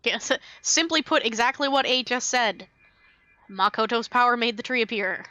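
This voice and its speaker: A woman's voice: fairly high pitch, normal speed, sharp tone, no echo. A woman livestreaming a game with casual commentary, reading dialogue aloud and muttering to herself. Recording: faint game music behind the voice. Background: game music.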